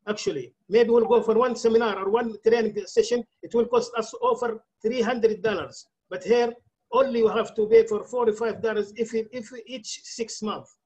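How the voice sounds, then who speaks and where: A man whose first language is Arabic, giving a halting speech as a club director at an online meeting, often restarting his words. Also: high-pitched, darkish tone, poor audio. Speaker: club program quality director.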